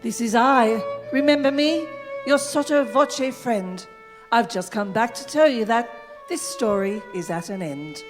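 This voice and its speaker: A woman plays a confiding narrator, theatrical and playful, talking to the listener as a friend. Her voice is fairly high and echoes in a hall, with faint violin behind it. It has the manner of a rhymed radio play. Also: a little slow, close to an everyday voice. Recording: echoey hall with long reverb. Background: violin music.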